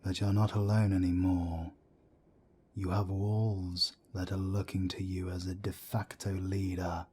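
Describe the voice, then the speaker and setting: A man playing a wry, world-weary werewolf council elder in an audio roleplay drama, speaking in a measured, deliberate way. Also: little pitch movement, a little mellow. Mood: grave, instructive. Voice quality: deep, husky.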